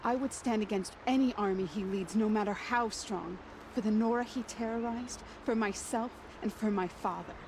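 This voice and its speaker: A woman's voice: medium pitch, moderate pace, hardly any pauses, noisy background, lightly acted. An actress playing a resolute exiled warrior woman in a video game, earnest and solemn. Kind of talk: video game drama acting. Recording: clear outdoor game ambience behind voice.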